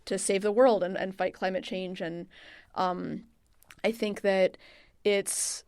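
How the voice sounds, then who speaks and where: A woman's voice: medium pitch, fairly even intonation, slow, a little mellow, smooth, no room sound, studio quality. A woman answering as an interview guest in a casual, chatty, rambling way, with plenty of "like" and "you know". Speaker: interview guest, wine region marketing manager.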